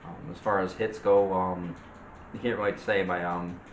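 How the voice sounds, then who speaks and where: A soft-spoken man co-hosting a casual movie chat, hesitant and searching for words. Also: low voice, level tone, moderate pace. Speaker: co-host of a movie review show. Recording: distant, noisy, echoey room.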